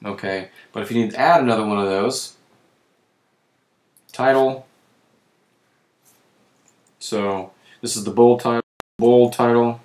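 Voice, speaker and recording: A man with a voice that is giving out, who clears his throat often and keeps correcting himself while walking through software on screen. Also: medium pitch, fairly even intonation, measured pace, pauses often, echoey room. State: losing his voice. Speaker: web tutorial presenter. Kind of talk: screen-recorded software walkthrough.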